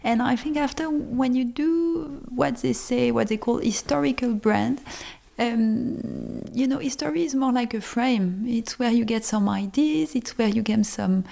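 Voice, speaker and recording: A woman's voice: a little high, a little slow, creaky, warm tone. A woman with a French accent, a native French speaker, answering interview questions conversationally and discursively, searching for words in English. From France, born in Lebanon. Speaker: brand co-founder, interview guest.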